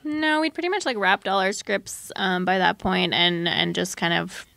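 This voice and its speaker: Woman podcast guest chatting casually, lots of fry, kinda hesitant, dry sound. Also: fairly low pitch, normal speed, fairly bright tone, dry recording. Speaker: podcast creator, interview guest. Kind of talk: casual interview chat.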